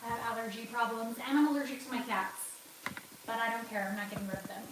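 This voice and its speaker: A rambling woman talks through her cooking, laughing often and sniffling with a runny nose. Her somewhat high voice is caught from a distance in a very echoey home kitchen, with clear background noise behind her. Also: fast. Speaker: cooking livestream host. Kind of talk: casual cooking livestream chat.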